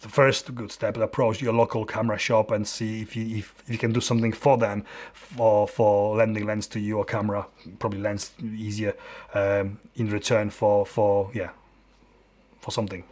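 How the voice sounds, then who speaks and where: A man in his forties with a Polish accent chats through a live stream from his dim home studio. He talks in a halting, open way, restarting his sentences and filling the gaps as he answers questions. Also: lowish voice, flat delivery, a little mellow. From Poland. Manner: halting, many restarts and fillers, friendly and open. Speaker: photographer and YouTuber hosting a live Q&A. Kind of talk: live-stream Q&A, casual chat.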